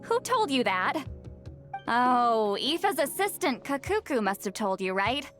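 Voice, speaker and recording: A thoughtful young female scholar-investigator speaks in a fairly high voice. She is composed, measured and analytical, a game character with soft music behind. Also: very animated. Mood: decisive. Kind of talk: scripted game dialogue.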